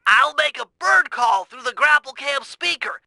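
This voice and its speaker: Brief: a nerdy young turtle inventor for a game, high, bright and gravelly-edged, fussy and precise. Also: male voice, animated, normal speed, no echo, studio quality, full character voice. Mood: earnest. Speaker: game character voice. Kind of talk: video game voice lines.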